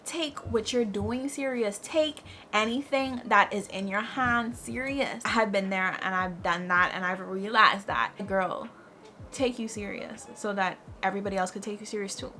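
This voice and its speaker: Bright young woman giving pep talks. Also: mid-range voice, a little hiss or hum. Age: 20s. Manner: lively, preachy and encouraging, repeating key phrases, addressing viewers as "girl". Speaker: lifestyle vlogger. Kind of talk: casual motivational advice talk.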